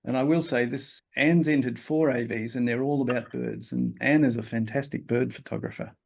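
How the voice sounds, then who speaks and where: A man's voice: mid-range voice, normal speed, vocal fry. A man hosting an exhibition, reading out accepted entries steadily with short asides. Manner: plain, steady, reads titles and adds short asides. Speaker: exhibition host. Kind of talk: announcing results.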